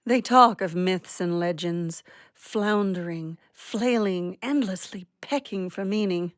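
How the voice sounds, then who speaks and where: A woman's voice: fairly low pitch, slow pace, dry recording, studio quality. A woman playing a poetic, philosophical little warbler in an audio drama, with a lyrical, declamatory delivery.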